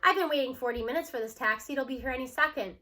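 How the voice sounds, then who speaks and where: A gruff older male schoolteacher from a storybook, played by a woman reader with a bright voice. He is stubborn and sarcastic. His words come out formal, curt and dry. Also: high-pitched, fast talker.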